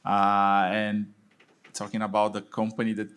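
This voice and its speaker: A man's voice: fairly low pitch, completely flat delivery, unhurried, fairly bright tone, clear, resonant tone. A Brazilian-accented man, a venture partner, introducing himself on a panel with many fillers and hesitations. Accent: Brazilian Portuguese.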